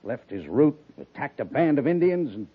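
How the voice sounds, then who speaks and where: Muffled vintage-radio blustery cavalry major. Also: male voice, medium pitch, very animated, normal speed, slightly rough. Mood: exasperated. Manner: exasperated, emphatic military bluster. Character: blustery, grumbling senior cavalry major, gruffly indulgent. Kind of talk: 1950s radio drama acting. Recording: muffled, band-limited 1950s radio recording.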